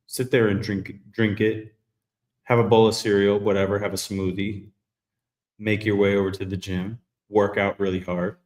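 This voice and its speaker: Laid back guy hosting a live talk, lowish voice, kinda echoey. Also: moderate pace. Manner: relaxed, rambling, self-correcting. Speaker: host. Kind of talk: casual live chat.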